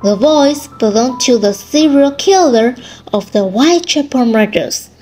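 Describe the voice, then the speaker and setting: A woman's voice: on the high side, expressive, measured pace, darkish tone, soft background music, low-quality recording. A woman delivering scripted narration for a radio drama.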